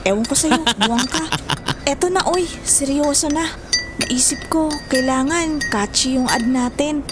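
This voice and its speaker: A woman's voice: sits high, measured pace, over music. A woman with a Filipino accent acting a bossy, teasing young female student in audio drama banter. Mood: playful, bossy. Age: young adult. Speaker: voice actor. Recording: faint background noise.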